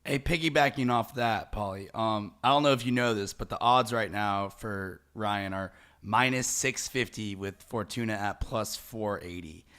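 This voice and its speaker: A man co-hosting a casual boxing talk show, putting measured questions to the host. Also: lowish voice, a bit bright, clear, resonant tone.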